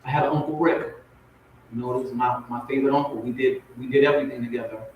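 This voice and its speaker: A man's voice: mid-range voice, fairly even intonation, fast talker, highs cut off. A man telling his own story informally as a guest poet, emphatic and repeating phrases for weight. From raised in Compton, California and Portmore, Jamaica. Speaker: spoken word poet, guest on a talk show. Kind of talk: personal testimony, informal storytelling. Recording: very echoey, distant, noisy audio.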